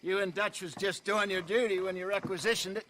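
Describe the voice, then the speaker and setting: An actor playing a smooth-talking male outlaw who bargains in a sly, genial drawl in a video game cutscene. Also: high voice, fairly even intonation, brisk, noisy background, lightly acted.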